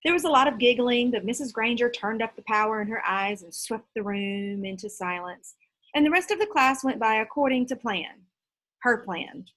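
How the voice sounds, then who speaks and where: A woman reading a children's story aloud in an expressive, teacherly storytelling style. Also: on the high side, normal speed, poor audio.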